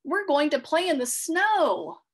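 A woman's voice: sits high, sing-song, unhurried, pitched above the performer's own voice. A woman voicing a cheerful, caring little girl in a children's storybook, eager and full of exclamations. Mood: excited. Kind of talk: children's storybook read-aloud.

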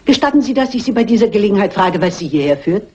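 A very husky, shrewd old lady. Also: medium pitch, expressive, fast talker, dark tone. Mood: polite, probing. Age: older. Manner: formal, precise, politely probing. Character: shrewd, dignified old lady amateur sleuth. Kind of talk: German film dub acting. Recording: dull, muffled vintage film sound.

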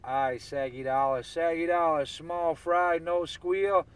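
A man's voice: fairly high pitch, steady tone, a little slow, clear, resonant tone. A man talking casually in CB radio slang, repeating catchphrases as he operates and records. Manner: repetitive, chant-like catchphrases.

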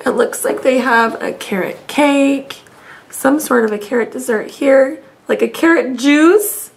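A woman's voice: sits high, creaky. A woman in her thirties reading a picture book aloud expressively, speaking as a teacher to young children. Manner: slow, deliberate, addressing young children. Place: small home room, indoors.

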